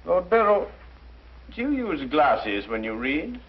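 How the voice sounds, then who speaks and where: An actor playing a courteous but commanding British detective with a precise, probing manner, in a 1950s mystery drama. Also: male voice, on the high side, big pitch swings, slow pace, slightly rough, exaggerated voice. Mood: brisk questioning. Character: keen, courteous, commanding male detective questioning suspects. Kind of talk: 1950s television mystery acting. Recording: dull, muffled 1950s soundtrack.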